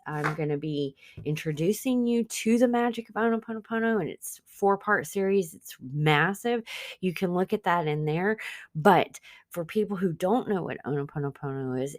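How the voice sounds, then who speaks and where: Lower-voiced woman host, non-native English speaker. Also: dry recording, studio quality. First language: not English. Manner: earnest, conversational, addresses listeners directly. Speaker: podcast host and spiritual business coach. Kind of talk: informal spiritual self-help teaching.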